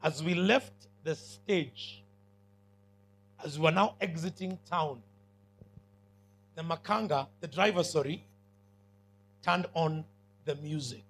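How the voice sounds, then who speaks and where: Husky, bright-voiced Kenyan male preacher. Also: fairly high pitch, slow, long pauses. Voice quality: big commanding voice. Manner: emphatic, with call-and-response questions. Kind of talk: sermon to students.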